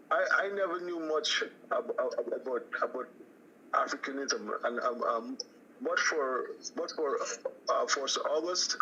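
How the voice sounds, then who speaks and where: A man from Guyana with a Guyanese accent, calling in to a radio show and talking in a halting way, full of restarts and fillers. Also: mid-range voice, pushed and bright, a little hiss or hum. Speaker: caller. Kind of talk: call-in radio chat. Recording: muffled phone call.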